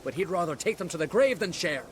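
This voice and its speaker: A grumbling druid from a fantasy video game. He is gossipy and resentful, in a fairly high male voice, with a faint rumbling noise behind him. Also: lively intonation, fast. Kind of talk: video game character acting.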